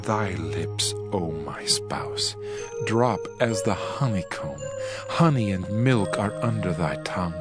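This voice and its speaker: A man playing an adoring bridegroom king in a dramatized scripture reading, solemn, tender and deliberate. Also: deep voice, expressive. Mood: enraptured. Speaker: actor reading dramatized audio Bible. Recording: music bed behind the voice.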